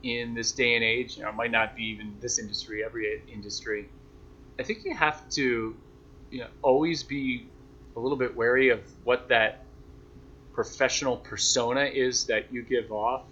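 A man's voice: mid-range voice, fairly even intonation, moderate pace, bright, forceful tone. A young man answering interview questions in a reflective, self-deprecating, conversational way, as a sports broadcaster. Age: young adult. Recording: dull lo-fi sound, noisy background.

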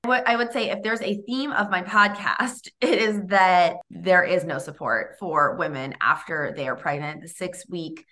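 A woman's voice: on the low side, unhurried, few pauses, sharp tone. A woman hosting a podcast interview, upbeat and enthusiastic as she introduces and chats with her guest. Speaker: podcast host.

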